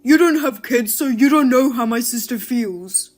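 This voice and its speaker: A woman voicing an irritable, jobless husband who blames and snaps loudly. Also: fairly high pitch, animated, moderate pace, faint music behind. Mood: angry. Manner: loud, blaming and rude.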